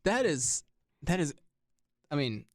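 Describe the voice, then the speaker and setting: A woman's voice: deep voice, very animated, slow. A woman from Libya, a native Arabic speaker, hosting a casual radio interview in a conversational way.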